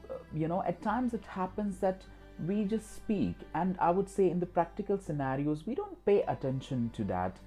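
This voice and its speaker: A man's voice: high-pitched, lively intonation, normal speed, dark tone, soft background music, poor audio. A man with an Indian accent, a native Hindi speaker, giving an instructional talk as host, repetitive and emphatic with frequent filler phrases. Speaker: host of a skills channel.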